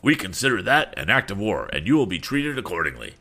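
A man's voice: on the low side, sing-song, quick pace, pushed and bright, pitched above the performer's own voice, full character voice. A man voicing an imperious, theatrical naval officer in an audiobook, barking orders in a declamatory way. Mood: imperious, menacing. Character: imperious, gold-laced Brazilian naval officer, commanding and theatrical.

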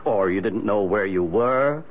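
An animated, authoritative radio doctor. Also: male voice, on the low side, measured pace. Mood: firm, probing. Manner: firm, probing, direct. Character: brisk, authoritative male doctor, frank and familiar. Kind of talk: 1970s radio drama acting. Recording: dull, noisy old radio recording.

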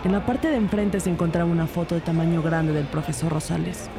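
Woman as female detective, lowish voice, scripted crime story narration, music behind her. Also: fairly even intonation, quick pace, a crowd in the background, close to an everyday voice. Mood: narrating. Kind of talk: scripted first-person narration, audio drama. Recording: clear background music under voice.

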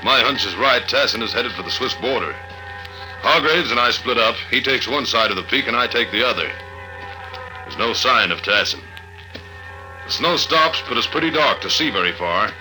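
A man's voice: mid-range voice, lively intonation, moderate pace, close to an everyday voice, poor audio. A man playing a hard-boiled, no-nonsense investigator who narrates his own adventure in clipped sentences, in 1950s radio drama style. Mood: terse narration. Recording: old radio recording with music.

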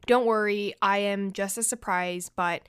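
Young woman, podcast host, stuffy nose, chatty and confiding, smooth clear voice, dry close sound. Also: medium pitch, steady tone, measured pace, a bit bright, no room sound. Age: young adult. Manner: chatty and confiding, many "like" and "you know" fillers. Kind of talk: casual solo podcast chat.

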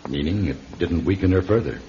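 A man playing a calm doctor in a radio drama, measured, reassuring and firm, with gentle authority. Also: deep voice. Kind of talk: classic radio drama acting. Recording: dull, noisy old radio recording.

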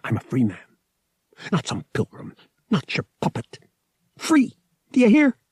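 Guy playing a cynical young smuggler hero, defiant and sardonic, husky voice, audiobook. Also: high-pitched, huge pitch swings, measured pace, a little mellow, no echo, clean studio sound, voice pushed up, exaggerated voice. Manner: sardonic, gritty.

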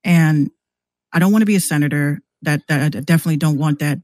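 A woman's voice: low-pitched, fast, no room sound. Candid American woman, close dry sound. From New York City, US. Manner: animated, candid, slangy, acts out quoted exchanges. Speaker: podcast guest, rapper and radio show host. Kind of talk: casual conversational storytelling.

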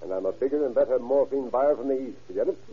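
A man's voice: medium pitch, fast talker, lightly acted. An American man playing a confident, easygoing police captain in a radio crime drama, talking in a folksy, assured way. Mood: confident, jovial. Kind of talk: old-time radio crime drama acting. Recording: narrow, tinny vintage radio broadcast.

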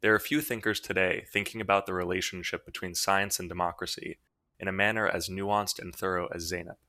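A man's voice: deep voice, little pitch movement, brisk, sharp tone, no room sound. A man hosting an academic book podcast, reading a formal introduction and then asking interview questions. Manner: formal, read-aloud delivery. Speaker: podcast host, assistant editor.

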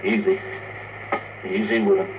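A heavily hissy, thin old radio recording carries an actor playing a practical male spaceman. He is gruff and leads his crew in clipped 1950s radio drama style. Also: fairly low pitch, level tone, measured pace, lightly acted. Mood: urgent, practical. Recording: noisy, tinny old radio recording, distant.

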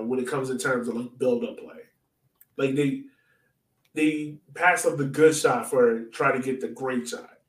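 A man's voice: medium pitch, fairly bright tone. A man co-hosting a sports podcast in casual, informal soccer chat, often tossing in asides. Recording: roomy echo, distant from mic.

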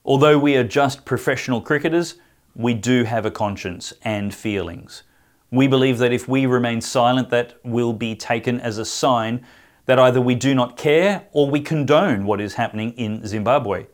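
Guy hosting a talk show, firm reading voice, kinda halting when he asks stuff, mid pitch. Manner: firm read-aloud delivery; halting filler-heavy questions. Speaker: host. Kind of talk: podcast interview.

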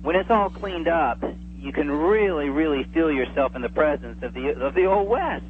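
A man's voice: fairly high pitch, lively intonation, moderate pace. A man speaking as an enthusiastic interview guest, chatting casually and at length. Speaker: interview guest, old-time radio enthusiast. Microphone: telephone. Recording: tinny phone line, faint hum.

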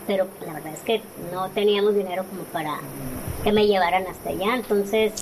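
A woman's voice: mid-range voice, fairly quick, noisy background. A woman in her forties with a Mexican Spanish accent, telling her personal story conversationally as an interview guest. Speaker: interview guest, author and speaker.

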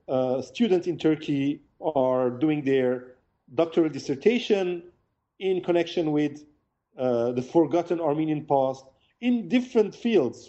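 Mellow-voiced man, hesitant and measured. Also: a little high, highs cut off. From Lebanon. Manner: measured, hesitant with many fillers. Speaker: author and academic guest. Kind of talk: remote interview answers. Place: village in the Alps.

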